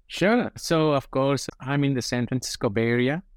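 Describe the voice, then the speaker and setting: A man's voice: medium pitch, normal speed, clear, resonant tone, no room sound, studio quality. Indian-accented man explaining investing. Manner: explanatory, frequent "right?" tags. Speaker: guest investor. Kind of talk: interview conversation.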